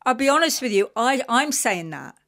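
A woman from London with an English accent, a guest chatting frankly and quickly in a radio interview. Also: on the high side, sing-song, fairly bright tone. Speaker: guest performer. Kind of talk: casual interview chat.

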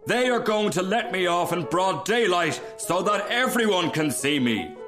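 A man voicing a haughty, self-important firework rocket in a storybook, making pompous, drawn-out pronouncements. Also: medium pitch, sing-song, over music. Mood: grandly excited. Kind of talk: storybook character reading.

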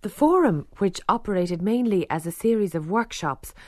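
Female broadcaster, measured documentary narration, dry close studio sound. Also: medium pitch, animated, no echo. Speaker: broadcaster and reporter. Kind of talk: scripted radio documentary narration.

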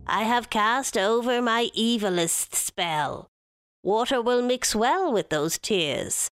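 A woman's voice: fairly high pitch, lively intonation, slow, smooth, no echo, pitched above the performer's own voice. A woman voicing a wicked, scheming stepmother sorceress, chanting her curses with menace in a rhyming storybook tale. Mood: gloating, menacing. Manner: menacing, incantatory.

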